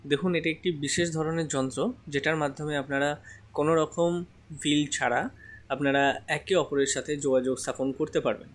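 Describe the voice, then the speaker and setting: A man with a slightly high voice presents a product in a casual, explanatory way. He talks as a seller walking viewers through features, often pausing with filler sounds as he goes.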